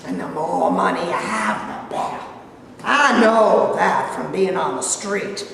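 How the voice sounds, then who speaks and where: A woman's voice: lowish voice, wildly swooping pitch, unhurried, gravelly, a crowd in the background, heavily acted. An actress playing a suspicious, domineering, street-wise homeless mother in a staged reading, with a folksy, scolding, sarcastic drawl. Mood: bossy, blunt. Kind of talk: theatrical staged play reading. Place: live staged reading in a hall. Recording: echoey hall, far mic, noisy.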